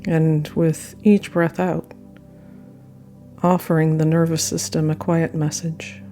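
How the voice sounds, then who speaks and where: A woman guiding a yoga nidra meditation with gentle, unhurried phrasing and frequent pauses. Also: low voice, level tone. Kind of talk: guided meditation narration. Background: soft meditation music.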